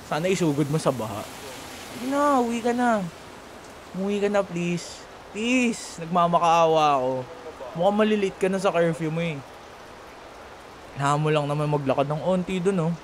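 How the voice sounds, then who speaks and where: A man's voice: a little high, measured pace, pauses often. A young man with a Filipino accent acting a sarcastic, teasing college rival in a playful, bickering audio roleplay. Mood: pleading, teasing. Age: young adult. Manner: taunting, playful, colloquial banter. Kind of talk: ASMR boyfriend roleplay, one-sided dialogue acting. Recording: steady rain noise behind voice. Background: falling rain.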